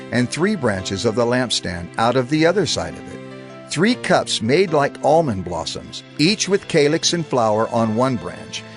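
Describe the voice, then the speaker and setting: Solemn man narrating scripture with lively pitch.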